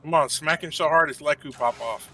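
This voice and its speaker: A man's voice: a little high, a little fast, bright, forceful tone, slight background noise. A middle-aged man trading dry, teasing banter with friends during an online game. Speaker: gamer chatting with guildmates. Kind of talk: casual gaming banter.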